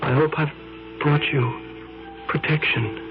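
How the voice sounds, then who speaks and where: An actor playing an obsessed, frightened photographer in a 1970s radio drama, talking in a halting, anxious way. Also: male voice, fairly high pitch, fairly even intonation, over music. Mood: somber. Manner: anxious, halting, breaks into panic. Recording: dull 1970s radio broadcast.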